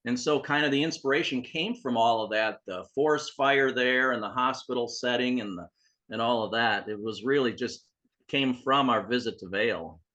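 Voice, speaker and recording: A middle-aged man with a bright, forward voice, answering interview questions as a guest author. He spins long, loose anecdotes full of asides. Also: medium pitch, moderate pace. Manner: chatty storyteller, rambling asides.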